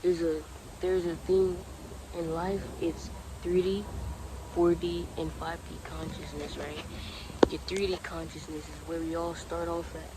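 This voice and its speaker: A man's voice: on the high side, level tone, a little slow, background noise. A man in his twenties, talking casually and earnestly outdoors by the water about spirituality, laid-back and repetitive. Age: 20s. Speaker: vlogger. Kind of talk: casual spiritual motivational talk.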